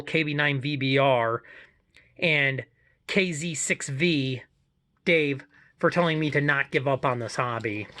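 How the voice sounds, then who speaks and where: An American man with a somewhat high voice presents as an amateur hobbyist. He talks casually, in the manner of a homemade vlog walkthrough of his own gear. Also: pushed and bright.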